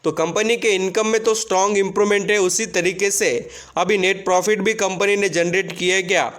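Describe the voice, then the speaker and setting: A man with a distinctly high voice presents financial results as an online host. He explains things step by step to his viewers, freely mixing English terms and numbers into his speech. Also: steady tone, a little fast, a bit bright. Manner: Hindi heavily mixed with English terms and numbers.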